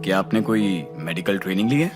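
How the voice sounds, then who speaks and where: An actor playing a deferential, skeptical assistant in an audio drama, hesitant and doubting. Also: male voice, deep voice, animated, fairly quick, over music. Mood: doubtful. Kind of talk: Hindi audio drama acting.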